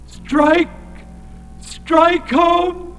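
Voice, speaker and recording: A man voicing an ancient, blind, crippled alien god, pleading solemnly in a drawn-out incantation, with long reverb and music. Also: extremely high pitch, level tone, a bit bright, heavily acted. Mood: urgent command.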